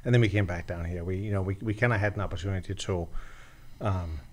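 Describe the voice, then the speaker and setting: A man in his forties from Denmark, a podcast guest telling his story in a relaxed way with many fillers, recorded on a desk mic in a small studio. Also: deep voice, quick pace. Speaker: podcast guest, e-commerce seller. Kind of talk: casual interview chat. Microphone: desk mic on boom arm.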